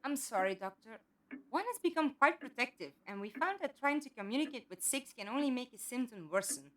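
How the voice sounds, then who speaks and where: A woman playing a calm, trusting captive in an audio drama, speaking politely and reasonably. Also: on the high side, fairly quick. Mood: candid, calm. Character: calm, curious, trusting female captive with lost memory. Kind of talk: fan audio drama acting.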